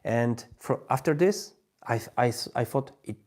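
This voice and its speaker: Halting man with a Polish accent. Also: mid-range voice, animated, darkish tone. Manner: halting, searching for words, many restarts. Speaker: language-learning livestream host. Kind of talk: live stream monologue.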